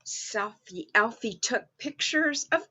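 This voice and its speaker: A woman's voice: on the high side, lively intonation, takes it slowly, bright, forceful tone, breathy edge, heavily acted. A woman playing a cheerful, motherly Mrs Claus, reading a story aloud to young children and putting questions to them. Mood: gentle storytelling. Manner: exclaiming, addressing young children directly with questions.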